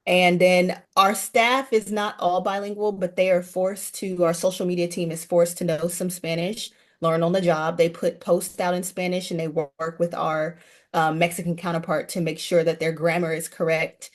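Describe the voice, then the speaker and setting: Woman talking about her language program, kinda low voice, earnest and chatty. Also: level tone, moderate pace. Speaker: language program founder, guest. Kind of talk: casual interview chat.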